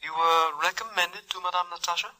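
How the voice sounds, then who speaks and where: Bright, grandiose fortune teller. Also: female voice, lowish voice, steady tone, a little fast, low-quality recording. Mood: grandly formal. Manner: theatrical, formal, mystical pronouncements. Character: grandiose female fortune teller, haughty, mystical, theatrical. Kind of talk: 1940s radio drama acting.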